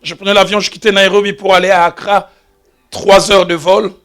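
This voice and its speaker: A man preaching a sermon as pastor, telling stories and shifting from quiet asides to loud exclamations. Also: high voice, little pitch movement, fairly quick, clean tone. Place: church.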